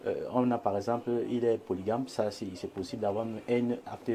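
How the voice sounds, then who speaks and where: A man with a Cameroonian accent speaks in a soft-edged, fairly low voice over slight ambient noise. He analyses as a panelist would, choosing formal words in a measured way. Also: steady tone. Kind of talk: analytical debate commentary. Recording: clear background noise.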